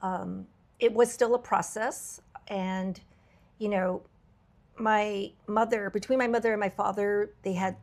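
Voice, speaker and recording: A woman hosting a podcast, her voice a little raspy from travel, talking in an earnest, reflective way with frequent fillers and restarts. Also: medium pitch, steady tone, a little slow, a little mellow. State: slightly raspy voice from travel fatigue. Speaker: podcast host. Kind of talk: candid podcast conversation.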